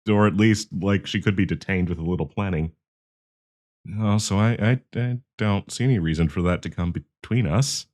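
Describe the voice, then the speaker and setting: A man's voice: deep voice, normal speed, a little mellow, lightly acted. A man playing a nervous, awkward young man shyly confessing love to a friend in an audio roleplay, stammering and hesitant. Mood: nervous, earnest. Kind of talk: intimate audio roleplay acting.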